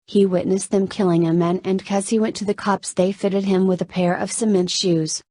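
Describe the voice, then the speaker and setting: A woman voicing a brisk, motherly, cautioning hospital nurse with a slight Southern accent in a story reading. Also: medium pitch, flat delivery, warm tone, no echo, lightly acted. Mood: urgent, warning.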